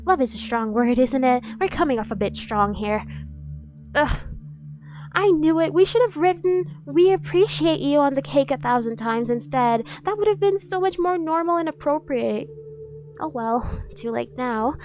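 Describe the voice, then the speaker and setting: A woman's voice: high-pitched, expressive, moderate pace, darkish tone, faint music behind. A woman playing a dorky, obsessively devoted yandere bodyguard in an ASMR roleplay, cutesy, gushing and insistent. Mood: sheepish.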